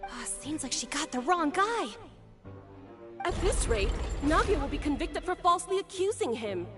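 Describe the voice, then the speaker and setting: An actress playing a gossipy courtroom spectator in a video game, commenting from the sidelines. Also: female voice, sits high, very animated, moderate pace, over music. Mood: doubtful.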